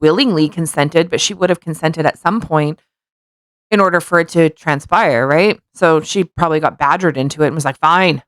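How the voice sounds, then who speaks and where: A woman's voice: deep voice, moderate pace, no echo, studio quality. A woman co-hosting a casual podcast. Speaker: podcast co-host.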